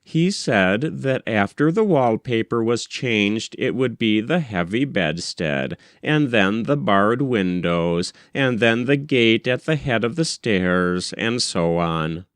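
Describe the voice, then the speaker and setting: A man reading a first-person literary story aloud as an audiobook narrator, with measured, deliberate phrasing. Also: on the low side, lively intonation, dry recording, clean studio sound. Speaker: audiobook reader.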